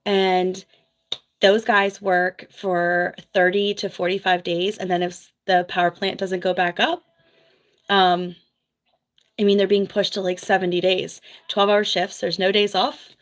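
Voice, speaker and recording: A woman's voice: medium pitch, level tone. A woman from New Jersey with a Philadelphia accent, chatting frankly with viewers as a former nurse. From US East Coast, New Jersey. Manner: chatty, frank, quick asides with laughs. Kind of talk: casual livestream chat reading viewer comments.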